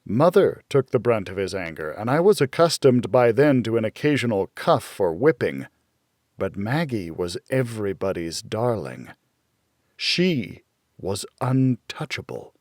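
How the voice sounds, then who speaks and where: A man reading first-person fiction as an audiobook narrator, in a measured, dramatic storytelling manner. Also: on the low side, sing-song, a little mellow, dry recording, studio quality.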